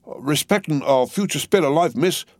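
Male reader as preachy manservant: high, solemn, slow, dry audiobook sound. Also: lively intonation, dry recording, natural voice. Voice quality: ungrammatical working-class speech. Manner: slow, pulpit-like holding forth. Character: elderly solemn male servant, trembling, preachy.

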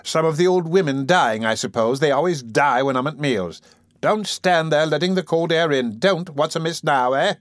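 A woman's voice: low-pitched, animated, moderate pace, no room sound, clean studio sound, voice pushed up. A man voicing a fussy, self-pitying middle-aged workhouse matron who talks peevishly and sharply. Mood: snappish. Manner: peevish, sharp, then sugary.

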